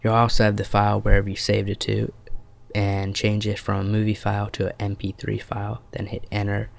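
Low-voiced man with gravelly creak, tech tutorial. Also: monotone, a little fast. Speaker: tutorial host. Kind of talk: step-by-step tech tutorial narration.

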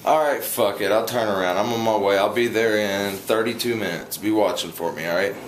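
A young man with a fairly low voice, teasing and smooth-talking into a phone held close to his mouth. He makes a prank call from a home kitchen at night. Clear background noise hums under the recording. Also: fairly even intonation, brisk. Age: young adult. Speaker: prank caller. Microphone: phone held close to mouth, camera close by.

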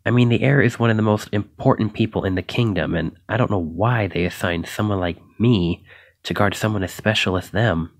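Shy, stammering young knight, low-voiced man acting. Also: fairly quick, no room sound, clean studio sound. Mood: anxious, self-doubting. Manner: stammering, hesitant, apologetic. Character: shy, flustered, self-doubting young male knight. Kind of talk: ASMR roleplay acting.

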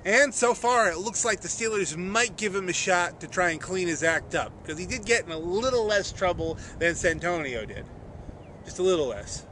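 A man's voice: high-pitched, expressive, moderate pace, pushed and bright. A middle-aged man giving conversational, emphatic sports commentary to a camera in an open field. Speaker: vlogger. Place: open grassy field outdoors. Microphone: camera at a short distance. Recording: outdoor camera audio with noise.

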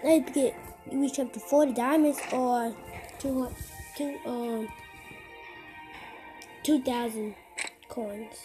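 A teenager giving casual, excitable commentary while playing a mobile game, repeating short phrases and calling out to other players. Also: medium pitch, slow pace, pauses often. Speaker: gamer. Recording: game music loud behind voice.

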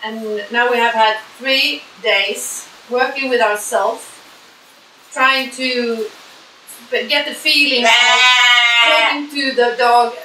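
A woman's voice: on the high side, steady tone, slow, sharp tone. A woman in her forties with a Swedish accent, speaking to camera about a clinic she organized, with hesitant phrasing and frequent restarts. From Sweden. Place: large barn with sheep, indoors. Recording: echoey barn with faint hiss.

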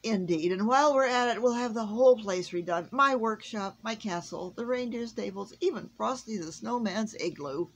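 A woman's voice: mid-range voice, expressive, normal speed, gravelly, hardly any pauses, a little mellow, husky, low-quality recording. A woman voicing an old, jolly Santa Claus worn down by the heat, hearty and exclaiming, in a storybook reading.

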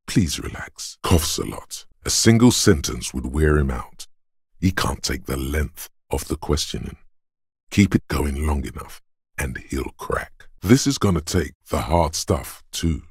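An actor playing the grave, commanding inner voice of a battered detective's body in a video game, gravelly and husky, speaking with solemn, portentous deliberation. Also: male voice, extremely low pitch, no room sound, studio quality, heavily acted. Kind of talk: solemn dramatic game narration.

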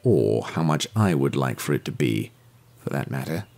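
An actor playing a brisk, earnest police detective in an audio drama, speaking formally with wordy, precise diction. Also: male voice, very deep voice, big pitch swings, vocal fry, darkish tone, exaggerated voice.

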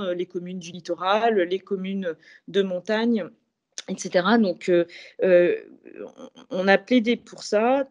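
Middle-aged woman, video call, laptop mic, measured and halting, frequent filler pauses. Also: mid-range voice, a little mellow. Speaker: vice-president of an association of intercommunal bodies. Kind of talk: interview answer on local government law. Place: indoor room, on a video call.

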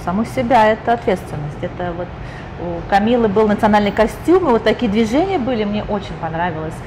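A woman's voice: mid-range voice, animated, fast talker. A woman interviewer asking chatty, admiring questions in a casual interview from a lounge. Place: lounge with leather sofas and bookshelves, indoors. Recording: noisy room ambience.

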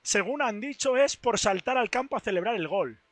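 A hoarse young man who has lost most of his voice, chatting excitedly to his stream on a headset mic in a studio booth. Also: high-pitched, normal speed, no room sound. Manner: excited, repetitive. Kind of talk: casual stream chat.

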